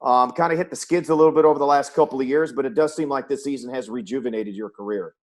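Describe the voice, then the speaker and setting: A man's voice: medium pitch, fast talker. An American man working as a sports broadcaster, hosting an interview show with introductions and questions.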